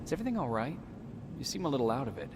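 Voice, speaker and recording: Actor as a calm, mid-pitched male soldier. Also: very noisy, lightly acted. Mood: quiet, earnest. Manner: measured, earnest. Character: calm, dutiful young male resistance soldier. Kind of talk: video game dramatic acting.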